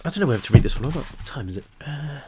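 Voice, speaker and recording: A man's voice: fairly high pitch, lively intonation, slight background noise. Man reading horror with a stuffy nose. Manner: slow deliberate reading, hesitant fillers between passages. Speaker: author reading his own novel aloud. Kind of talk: dramatic horror book reading.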